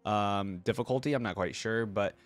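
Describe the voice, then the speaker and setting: A man's voice: on the low side, normal speed. A man hosting a reaction video with casual, laid-back commentary, thinking aloud appreciatively as he goes.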